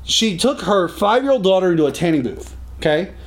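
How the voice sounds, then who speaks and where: A man hosting a casual talk show from a studio, joking and animated. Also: high-pitched. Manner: fast-talking, animated, joking. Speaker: podcast host. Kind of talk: casual radio-style chat. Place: recording studio.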